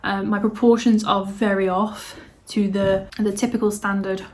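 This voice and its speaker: A woman, hosting her knitting podcast in a casual, rambling chat full of fillers and restarts, her voice slightly hoarse. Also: mid-range voice, flat delivery, normal speed.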